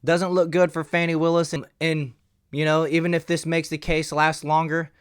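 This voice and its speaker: A man's voice: on the high side, little pitch movement, moderate pace, smooth, no echo. Man giving casual news commentary, dry sound. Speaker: commentary channel host. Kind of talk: reading news aloud, then casual opinion commentary.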